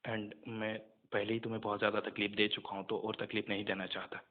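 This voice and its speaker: Young adult male, subdued and hesitant, low register, very even delivery, muffled call audio. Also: brisk. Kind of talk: casual phone conversation. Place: phone call. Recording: muffled phone call recording.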